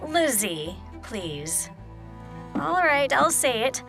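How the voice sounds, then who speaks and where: An actress playing an eccentric, forward spinster on the hunt for a husband in a comic audio play, genteel and coy with menacing undertones. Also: female voice, high-pitched, sing-song, drawn-out words, vocal fry, over music, full character voice. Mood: insistent, genteel.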